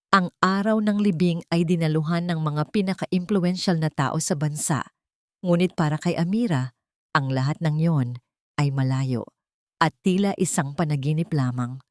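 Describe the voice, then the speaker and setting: A woman narrating a scripted romantic drama in a measured, solemn, sentimental storytelling manner. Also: lowish voice, darkish tone, no echo, studio quality. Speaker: narrator of audio drama stories.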